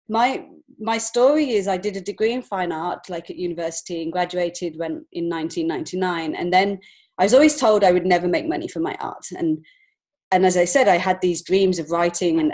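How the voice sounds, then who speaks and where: A woman's voice: fairly low pitch, a little fast, a little mellow. A woman in her forties with an English accent, telling her personal story as a podcast guest in an earnest, reflective way. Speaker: podcast guest, children's author and illustrator.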